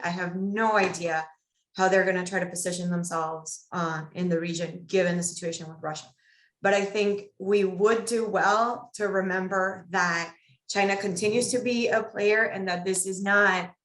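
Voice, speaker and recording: A woman panelist giving a measured, analytical answer in an academic discussion, thinking aloud as she goes. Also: medium pitch, a bit bright. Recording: echoey room.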